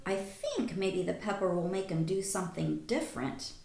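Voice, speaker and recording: A woman teacher reading a picture book aloud to young children, expressive, with simple questions, in an echoey room. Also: on the low side, normal speed, vocal fry. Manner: animated, simple child-directed phrasing.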